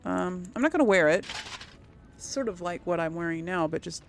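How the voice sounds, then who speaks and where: A woman's voice: lowish voice, animated, normal speed, gravelly, soft background music. A woman giving casual game commentary as a let's play host, musing aloud to herself.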